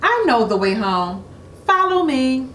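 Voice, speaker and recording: Lady doing a little sister in a kids storybook, high childlike voice, roomy echo. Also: very animated, a little slow, a bit bright, slight background noise, full character voice. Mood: confident. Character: timid little sister who turns calmly capable. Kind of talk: children's storybook read-aloud.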